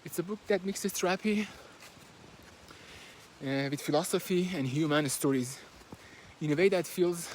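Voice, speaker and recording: Male book vlogger with a Persian accent who talks while walking outside: somewhat high pitch, hesitant delivery, recorded on a phone with light background noise. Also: normal speed, long pauses. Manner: hesitant, with many fillers and restarts. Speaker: book reviewer. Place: outdoors in nature, walking. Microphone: handheld phone, no fancy equipment.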